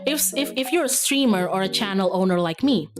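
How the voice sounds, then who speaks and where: A woman's voice: medium pitch, lively intonation, fairly quick. A woman streamer chatting casually with her viewers in a playful, giggly, rambling manner. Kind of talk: casual livestream chat with viewers. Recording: music behind the voice. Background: background music.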